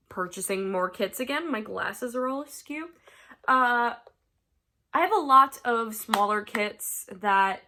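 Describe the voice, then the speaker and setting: A woman's voice: medium pitch, sharp tone. A young woman from the US chatting casually to her viewers about her crafts, stuffed up from a cold and laughing often. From United States. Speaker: crafting vlogger. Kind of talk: casual chat to camera. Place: her bedroom at home.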